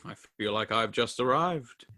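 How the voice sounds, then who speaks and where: A man's voice: medium pitch, animated, normal speed, pushed and bright. A man voicing a stingy old male employer in a radio play, curt and grumbling. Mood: grumpy.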